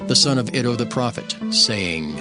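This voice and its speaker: An actor playing a formal scripture narrator, reading in a measured way. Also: male voice, medium pitch, lively intonation, music in the background, close to an everyday voice.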